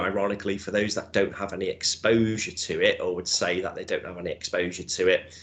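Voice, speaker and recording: Deep-voiced Scouse man presenting. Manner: explanatory, conversational, with hedges and tag questions. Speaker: insurance broker presenting a webinar. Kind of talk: webinar presentation.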